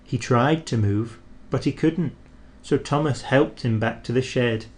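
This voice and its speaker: A man reading a children's storybook aloud in a gentle, expressive narrating voice. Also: mid-range voice, a little slow.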